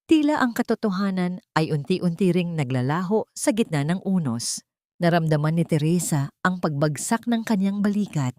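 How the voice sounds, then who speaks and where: A woman's voice: fairly low pitch, darkish tone, no room sound, clean studio sound. A woman storyteller giving a scripted, dramatic audiobook narration.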